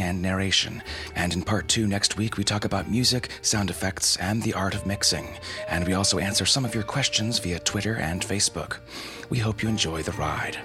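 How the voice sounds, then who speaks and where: Male podcast host, very low register, wry scripted narration over a clear music bed. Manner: wry, tongue-in-cheek.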